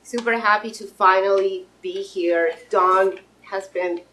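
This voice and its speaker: A woman's voice: medium pitch, steady tone, a little slow, bright, forceful tone, a little hiss or hum, rough recording. A woman in her thirties from Russia, giving a friendly, informal presentation of her fitness business to an audience, with restarts and fillers. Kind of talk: pitch presentation to a live audience.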